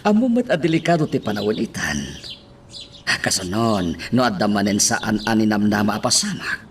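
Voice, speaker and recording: A man's voice: lowish voice, animated, moderate pace, a little hiss or hum, lightly acted. A man with a Filipino accent playing a protective, concerned middle-aged father in a radio drama. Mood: concerned, admonishing.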